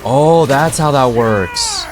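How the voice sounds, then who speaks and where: A man casually reacting as he plays a game live, slangy and excitable, like a streamer chatting to viewers. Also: medium pitch, slow pace, background noise. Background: game music.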